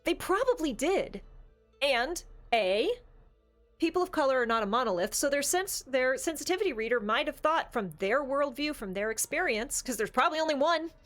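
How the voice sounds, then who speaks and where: A woman's voice: high voice, animated, moderate pace, fairly bright tone, soft background music. A woman co-hosting a talk show, speaking casually and emphatically as she argues her opinions. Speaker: podcast co-host. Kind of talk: opinionated discussion, casual chat.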